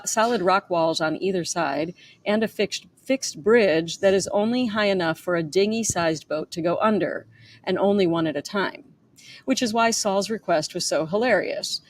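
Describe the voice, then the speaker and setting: A woman's voice: mid-range voice, a little hiss or hum. A woman author reading aloud from her own memoir in a narrating style.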